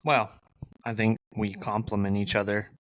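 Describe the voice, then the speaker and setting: A man's voice: fairly low pitch, level tone, moderate pace, a bit bright, dry recording. A young adult man co-hosting a podcast in casual chat, teasing and joking with boastful banter. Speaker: podcast co-host.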